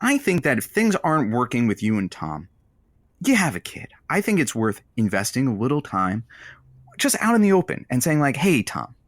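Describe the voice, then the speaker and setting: A man's voice: mid-range voice, huge pitch swings, normal speed, dry recording. A man playing an earnest, supportive radio producer who fills in as host of a comedy call-in show, talking hesitantly and conversationally. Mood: tactful, reasoning.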